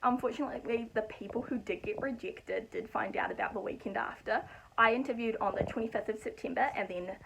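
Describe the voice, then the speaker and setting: A woman's voice: fairly high pitch, big pitch swings, brisk, background noise. A young woman from New Zealand with a New Zealand accent, casually explaining a process to her vlog viewers in a chatty, practical way. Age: young adult. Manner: chatty and practical, with light laughs. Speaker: vlogger.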